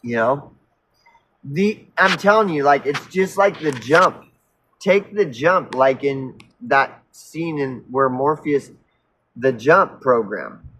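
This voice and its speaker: A man of fifty on an unscripted personal rant, earnest and insistent, halting and repeating himself as he circles back mid-sentence, casually profane. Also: medium pitch, animated, fairly bright tone, a little hiss or hum, rough recording. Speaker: recovery vlogger.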